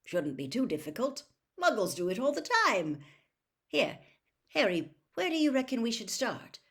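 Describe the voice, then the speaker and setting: A woman voicing a cheerful middle-aged wizard father, eager, genial and easily excited, in a storybook reading. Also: fairly high pitch, moderate pace, pitched above the performer's own voice. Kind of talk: storybook character voice.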